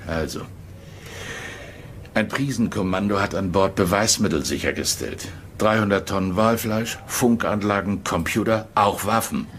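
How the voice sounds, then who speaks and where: An actor playing a brisk, chummy police superior in a crime audio drama, talking in a businesslike, coaxing, familiar way. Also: male voice, lowish voice, fairly bright tone, a little hiss or hum, close to an everyday voice. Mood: businesslike briefing.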